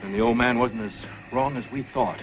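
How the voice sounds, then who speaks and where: A man's voice: medium pitch, a little fast, soft background music, natural voice. An actor playing an impatient, jumpy outlaw henchman in an old-time radio adventure, speaking curtly and edgily. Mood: uneasy. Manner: curt, edgy. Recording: muffled vintage radio recording.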